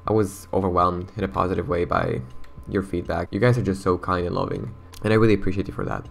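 Deep gravelly young man chatting casually. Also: fairly even intonation, fast, a little hiss or hum. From Romania. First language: Romanian. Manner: chatty, rambling, says "bro" and "like" a lot. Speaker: gaming channel host. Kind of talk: casual gameplay commentary and chat.

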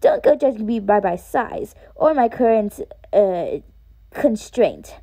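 A woman's voice: on the high side, big pitch swings, takes it slowly, mellow, slightly rough, full character voice. A woman voicing a small, boastful warrior bug from a video game, grandiose and chatty. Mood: proud, eager. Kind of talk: fan dub of video game dialogue.

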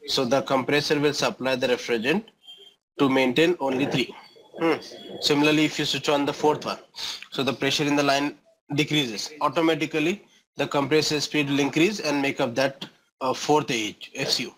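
A man's voice: medium pitch, steady tone, normal speed, fairly bright tone, a little hiss or hum, low-quality recording. A middle-aged man with an Indian accent giving an explanatory lecture as an engineering instructor, often checking understanding with short questions and tags.

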